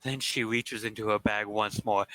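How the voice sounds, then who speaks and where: A man reading game narration aloud in a plain, steady way. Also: lowish voice, moderate pace, no echo, poor audio.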